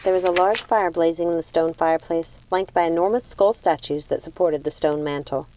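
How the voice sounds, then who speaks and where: A woman's voice: on the low side, fairly even intonation, brisk, warm tone, low-quality recording. A woman reading a novel aloud as scripted storybook narration. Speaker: audiobook reader.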